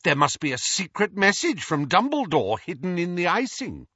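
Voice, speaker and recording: A man voicing a bookish, defiant teenage girl in an audiobook, in a halting, indignant manner. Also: on the high side, big pitch swings, fairly bright tone, husky, no room sound, muffled recording, voice pushed up, full character voice. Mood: derisive, sarcastic. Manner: halting, thick-voiced, indignant. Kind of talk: audiobook character voice.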